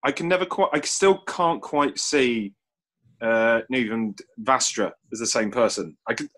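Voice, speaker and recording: A man's voice: mid-range voice, unhurried, a bit bright. A man in casual panel chat as a writer, rambling and often restarting his phrases. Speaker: audio drama writer. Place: remote online call.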